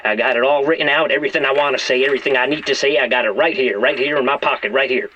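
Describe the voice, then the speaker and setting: A man's voice: mid-range voice, very fast, pushed and bright. An actor playing a foul-mouthed, volatile hostage-taker in his forties in an audio drama, ranting in a folksy way over a telephone. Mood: impatient, insistent. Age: 40s. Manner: ranting, folksy, profane, repeats himself. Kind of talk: naturalistic audio drama acting. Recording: dull lo-fi telephone line.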